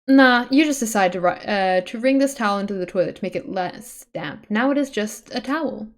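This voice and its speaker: A woman's voice: mid-range voice, lively intonation, a little fast. Woman casually reading a story aloud. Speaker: streamer reading a webcomic aloud. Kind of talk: casual read-aloud narration with chatty asides.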